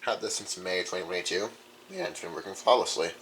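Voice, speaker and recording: A man's voice: sits low, sing-song, fast. A young man giving a casual, rambling show-and-tell of his collection in an informal way, recorded in a room. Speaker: radio collector. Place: indoor room. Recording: some background noise.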